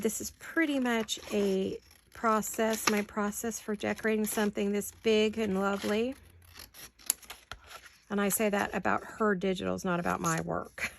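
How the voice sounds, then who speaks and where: A woman with a sore throat chatting casually to viewers while she crafts at home, thinking out loud as she works. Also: medium pitch, vocal fry, a little hiss or hum. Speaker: craft vlogger. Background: scissors and crafting clicks.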